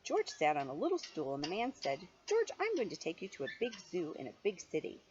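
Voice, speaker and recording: A mature woman reading a picture book aloud to a child in an animated, playful way, with chatty asides. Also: fairly low pitch, a little fast. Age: middle-aged or older. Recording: dull lo-fi home recording, faint noise.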